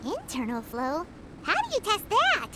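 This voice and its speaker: Tiny fairy-like game sidekick: very high squeaky child voice, wildly animated, skeptical and excitable. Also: female voice, measured pace, very noisy, exaggerated voice. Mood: wary, puzzled. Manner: exclaims, speaks of self in third person. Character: tiny childlike floating girl companion, excitable, skeptical, worried. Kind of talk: anime game voice acting.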